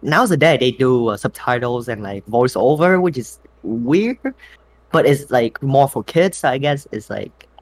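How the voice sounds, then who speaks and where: A man's voice: medium pitch, animated, measured pace. A man from Vietnam in casual chat, playful and jokey with his co-host.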